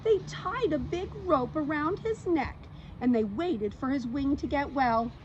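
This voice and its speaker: A woman reading a children's book aloud as a storyteller, in an expressive read-aloud style. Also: high voice, a little slow. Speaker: storytime reader. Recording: slightly dull audio, faint background noise.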